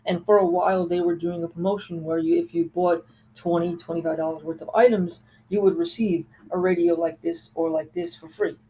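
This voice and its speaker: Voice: mid-range voice, steady tone, brisk, dark tone, a little hiss or hum, low-quality recording. A host casually showing and reviewing items from a collection, talking informally and with enthusiasm. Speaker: host of a gadget collection video. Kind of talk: casual show-and-tell product review.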